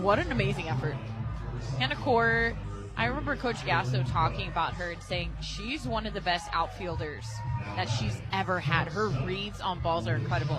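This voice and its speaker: An American woman offers color commentary from a softball radio booth in a mid-pitched voice. She is chatty and conversational, like a co-host talking between plays, and music is clearly audible behind her. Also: rough recording. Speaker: radio color analyst, former outfielder. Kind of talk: casual live sports color commentary.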